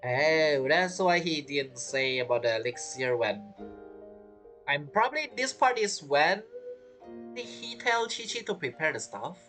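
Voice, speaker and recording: Female game streamer: low voice, animated intonation, casual reactions, game music behind. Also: a little slow. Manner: reacts aloud, thinks out loud. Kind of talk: casual gameplay commentary.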